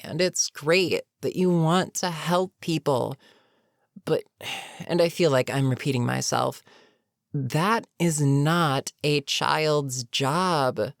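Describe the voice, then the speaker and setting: A woman playing an older, weary supervillain in an audio roleplay, menacing yet protectively concerned, with a measured, sardonic, sighing delivery. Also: sits low, gravelly, dry recording, studio quality, heavily acted. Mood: disgusted, concerned. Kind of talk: dramatic audio roleplay monologue.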